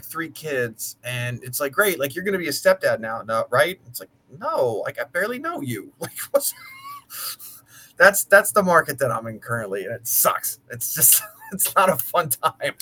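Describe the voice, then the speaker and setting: A man's voice: fairly high pitch, big pitch swings, moderate pace, sharp tone. An American man of about thirty chatting casually, talking at length in a blunt, sweary way. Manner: blunt, profane, talkative. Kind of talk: casual chat.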